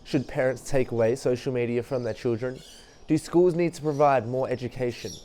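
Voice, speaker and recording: A young man reading scripted documentary narration in an earnest, measured way. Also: medium pitch, mellow.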